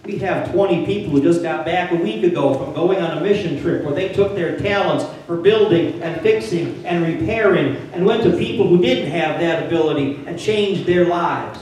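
An older man preaches in a plainspoken, conversational way, his voice sitting a little high for a man. He is heard from a distance through a handheld mic in a very echoey church sanctuary, with a faint hum of room sound underneath. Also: moderate pace, hardly any pauses, darkish tone. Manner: plainspoken and conversational, building to urgent emphasis. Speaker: pastor. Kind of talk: sermon. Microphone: handheld mic, filmed from a distant camera.

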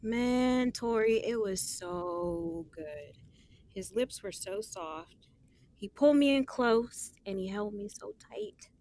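A woman's voice: medium pitch, expressive, takes it slowly, darkish tone. An actress playing a guarded but smitten young woman, chatting in sassy, slangy style with her best friend in an audio drama. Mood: dreamy, smitten. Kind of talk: casual conversational audio drama.

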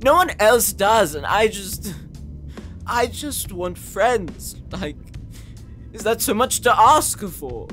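A man's voice: sits high, sing-song, slow, sharp tone, faint music behind, full character voice. A man playing an insecure, earnest young man in an audio roleplay, whiny and easily flustered. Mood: whiny, tipsy. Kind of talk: dramatic audio roleplay acting.

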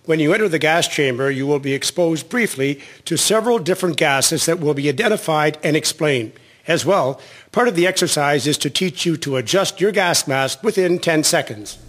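Man playing army training officer, radio play, slightly high male voice, formal, businesslike. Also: lively intonation, normal speed, fairly bright tone. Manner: formal, instructing. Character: brisk, businesslike male army gas-school instructor. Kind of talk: radio drama acting.

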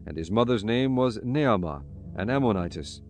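Male Bible narrator, lowish pitch, solemn scripted read, measured phrasing, music bed underneath. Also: expressive.

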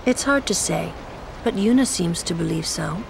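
An actress playing a stern, sardonic, protective young woman in a video game, speaking in a measured, dry, pointed way. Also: on the low side, animated, vocal fry, background noise. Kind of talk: video game cutscene acting. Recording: music behind the voice.

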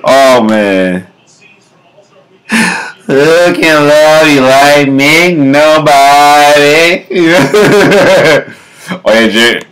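A man in his thirties with a low bass voice, casually reacting to memes at home, laughing often and breaking into off-key singing. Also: extremely slow, a bit bright, poor audio. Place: home kitchen, indoors. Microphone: webcam at close range.

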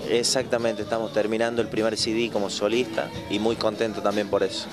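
A young man with an Argentine accent and a low voice answers interview questions in a modest, halting way. He speaks into a handheld mic in a bar. Loud live music plays behind him. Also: little pitch movement. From Tucumán province, Argentina. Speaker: folk singer guest.

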